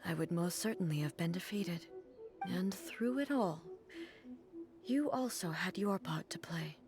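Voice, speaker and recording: A woman playing a dignified, earnest ruling deity in a video game, speaking in a formal, measured way. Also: on the low side. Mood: grave. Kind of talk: scripted video game voice acting. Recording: music behind the voice. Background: game music.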